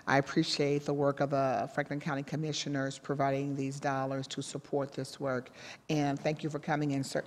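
A woman chairing a city council committee, speaking in a measured, appreciative way into a desk microphone. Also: low-pitched, darkish tone. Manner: measured, appreciative, with many thanks and fillers. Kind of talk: formal council proceedings. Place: large city council chamber. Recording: long reverb of a large chamber.

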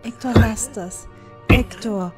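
A woman's voice: on the high side, takes it slowly, over music. A woman playing a young, protective dwarf woman, firm and soothing, in a fantasy audio drama. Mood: urgent, pleading. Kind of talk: fan-made fantasy audio drama acting.

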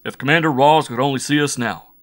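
A man's voice: mid-range voice, brisk, a bit bright, close to an everyday voice. A man voicing a big, brash, hot-blooded spaceship crewman with a hearty, blustering manner in a science fiction story. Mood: boyish delight. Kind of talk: science fiction audiobook character.

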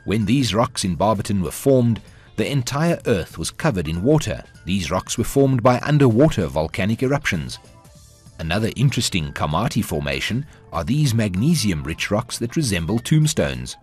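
A man narrating a television documentary in a measured, scripted style. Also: lowish voice, sing-song, slightly rough, soft background music. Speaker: program narrator.

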